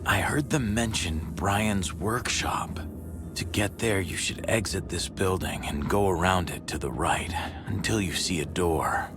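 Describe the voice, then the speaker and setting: A dutiful police commissioner in a mystery game. His low voice sounds sincere and weighty, with music playing clearly behind it. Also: measured pace. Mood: helpful. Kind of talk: video game cutscene acting.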